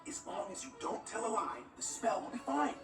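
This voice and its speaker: Animated-film older brother, young, earnest and stubborn, lively swings, echoey with music behind. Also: male voice, high voice, a little fast, creaky, distant mic, exaggerated voice. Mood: instructing.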